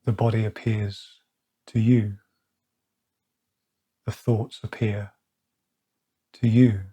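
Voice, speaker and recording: A man leading a guided meditation, speaking slowly and softly with long pauses. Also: on the low side, level tone, slightly rough. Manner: slow, soft-spoken, with long pauses. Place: outdoors or by an open window with birds nearby.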